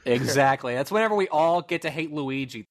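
Animated male podcast host. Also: a little high. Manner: animated storyteller, quick asides. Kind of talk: casual chat.

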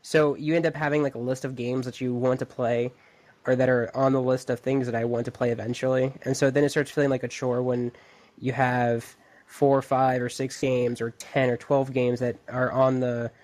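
A young man chatting on a podcast in a plain mid-pitched voice. He sounds easygoing, and his thoughts run on loosely from one to the next. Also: flat delivery, moderate pace, darkish tone. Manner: easygoing, loosely strung sentences. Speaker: podcast co-host. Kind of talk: casual chat.

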